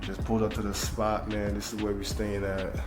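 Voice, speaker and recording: A young man talking casually to camera in a vlog, relaxed and slangy. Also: lowish voice, fairly quick, music in the background. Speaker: vlogger.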